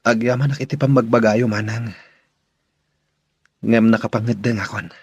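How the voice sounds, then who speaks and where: A man's voice: medium pitch, steady tone, normal speed, breathy edge, no room sound. A man acting in a radio drama as a subdued, deferential but determined young recruit. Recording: dull, dry, muffled audio.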